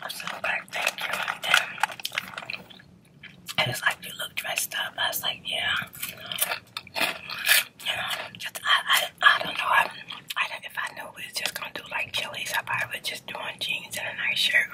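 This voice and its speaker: A woman's voice: moderate pace, long pauses, low-quality recording. Whispering woman telling a personal story. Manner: whispering throughout, chatty, with many fillers.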